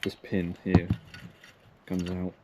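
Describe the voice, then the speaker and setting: A man's voice: deep voice, completely flat delivery, slow pace, very noisy. A young man giving plain, matter-of-fact, casual commentary as he works, like a vlogger. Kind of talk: casual narration while working on bikes. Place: car, sheds and yard while working.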